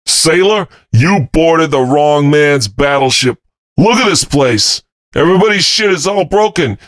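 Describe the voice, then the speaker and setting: A gruff, foul-mouthed building caretaker who barks his threats in a raised voice, voiced by a man telling a story. He is blunt and impatient. The recording is dry and close. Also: fairly high pitch, animated, measured pace, a bit bright, no room sound. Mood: angry barking. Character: fat, angry middle-aged apartment caretaker with shotgun.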